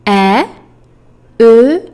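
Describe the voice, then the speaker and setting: A woman's voice: on the high side, expressive, extremely slow, mellow, clear, resonant tone. A native French-speaking woman tutoring pronunciation, drilling sounds one at a time and checking in with "okay?". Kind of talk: pronunciation lesson.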